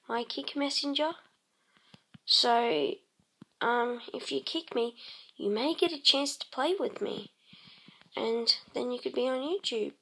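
A woman casually narrating her game session, croaky and clearing her throat, rambling with many pauses and humming to herself. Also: on the high side, fairly bright tone. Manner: slow, rambling, many ums, hums tunes while playing. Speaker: let's play host. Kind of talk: casual gameplay commentary.

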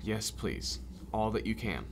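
A man's voice: on the low side, animated, a little slow, a little hiss or hum. A man playing an interviewer who presents recordings in scripted documentary-style narration. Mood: composed.

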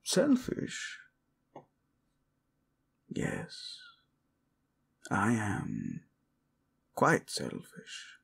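A man's voice: lowish voice, exaggerated voice. A man playing a possessive, devoted prince in a romantic audio roleplay, murmuring formal words with Spanish endearments to his wife. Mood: tender, possessive. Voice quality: husky, gravelly, breathy in places.

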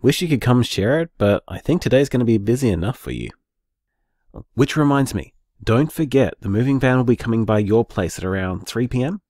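An actor playing a loving, frank and wry young Australian boyfriend in an audio drama told through voicemails. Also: male voice, mid-range voice, animated, a little fast, darkish tone, no echo, clean studio sound. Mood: grateful, fond.

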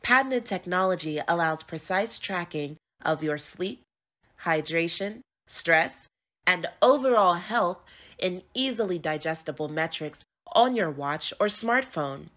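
A woman's voice: fairly low pitch, expressive, a little slow, fairly bright tone. A woman voice-over artist reading scripted commercial narration.

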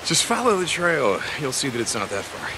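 A man's voice: medium pitch, sing-song, fairly quick, background noise, close to an everyday voice. An American man playing an easygoing, teasing outlaw biker in his thirties in a video game, informal and profane. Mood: bantering. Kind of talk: naturalistic video game acting.

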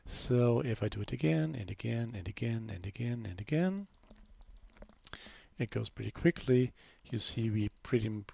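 A man narrating a screen-recorded software demo in a relaxed, unscripted way, full of fillers and restarts. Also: medium pitch, moderate pace, gravelly, slightly rough, no room sound. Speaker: software developer giving a demo.

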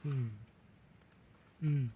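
A man's voice: very slow, warm tone, slight background noise. An eighteen-year-old man hosting a casual vlog from inside a car, joking and teasing in a laid-back way, filmed on a phone held at arm's length. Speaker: vlogger. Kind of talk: casual chat. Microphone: phone camera at arm's length.

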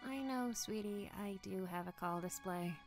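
A woman's voice: mid-range voice, normal speed, faint music behind, lightly acted. A woman playing a sassy, candid friend in an audio drama, blunt and breezy in her delivery.

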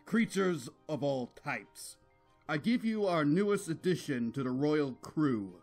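A man voices a proud unicorn king from a cartoon, a protective father. He proclaims slowly and grandly, with faint music underneath. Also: a little high, expressive, a little mellow. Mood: stately.

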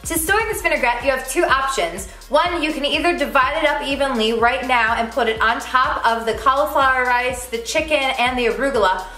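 A woman's voice: high-pitched, fairly quick, few pauses, pushed and bright. A young woman co-hosting a home cooking video, chatty and upbeat as she gives recipe steps and promotes the channel. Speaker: cooking show co-host. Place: home kitchen. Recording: echoey kitchen with faint music.

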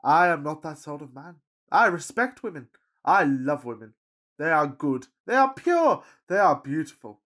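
A man playing a pompous, lovestruck Victorian gentleman in a farcical radio play, declaiming in florid, earnest speeches. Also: fairly high pitch, very animated, moderate pace. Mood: impassioned.

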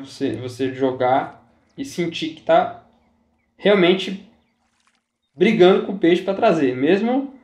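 A man's voice: fairly high pitch, darkish tone. A man giving casual fishing game tips as a YouTuber, chatty and repetitive, often checking in with his viewers. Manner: chatty, repeats himself, says "galera" and "tá?" often. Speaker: gaming YouTuber. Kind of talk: casual gameplay tips commentary. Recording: roomy echo.

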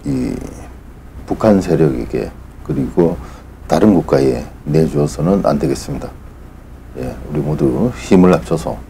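Very deep-voiced middle-aged man. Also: gravelly, mellow, a little hiss or hum. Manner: halting, formal and polite. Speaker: reporter and channel host. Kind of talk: unscripted announcement to viewers.

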